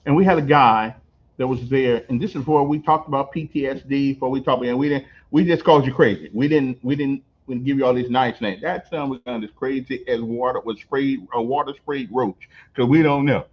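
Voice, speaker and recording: An older man hosting a casual on-location interview, telling stories in an animated way, repeating words and laughing as he goes. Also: medium pitch, brisk, slightly rough. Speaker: host. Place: on location at a veterans center. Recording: dull lo-fi recording.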